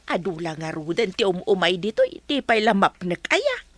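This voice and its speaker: A woman doing a lively gossiping villager. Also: mid-range voice, moderate pace. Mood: gossipy.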